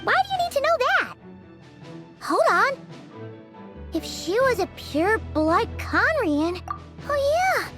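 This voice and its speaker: A woman voicing a small, excitable girl companion in a video game, talking fast and squeaky. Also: soft background music, exaggerated voice. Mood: curious. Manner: quick, squeaky and animated.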